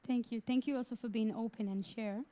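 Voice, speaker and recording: A woman's voice: a little high, fairly even intonation, fairly quick, muffled recording. A woman moderating a conference panel, friendly, with laughing asides.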